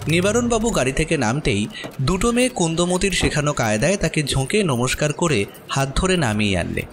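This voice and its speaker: A man's voice: fairly high pitch, big pitch swings, fairly quick, a little hiss or hum. A man narrating a horror story expressively from a script.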